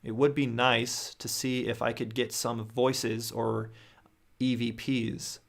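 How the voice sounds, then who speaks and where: A young man with a gravelly, mid-pitched voice reads viewer stories aloud on a livestream. He speaks close to a studio microphone in a small room at home. He often stumbles, restarts and adds his own reactions as he goes. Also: little pitch movement, slow. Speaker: host reading viewer stories. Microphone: studio mic on boom near face.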